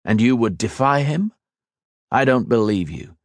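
A man's voice: medium pitch, animated, measured pace, dry recording. A man voicing an angry young swordsman hero, speaking in a tight, clipped, defiant way. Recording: dry close studio read.

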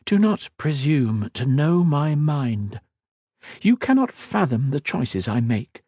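A man voicing a proud, wary queen who speaks in a haughty, cutting, measured way. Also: on the high side, big pitch swings, dark tone, slightly rough, no room sound, voice pushed up, exaggerated voice.

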